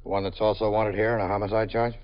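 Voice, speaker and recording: A man's voice: fairly low pitch, little pitch movement, fast talker, close to an everyday voice. An actor playing a stern male police lieutenant who questions a suspect curtly, in 1950s radio drama style. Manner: curt, firm questioning. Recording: dull old radio broadcast.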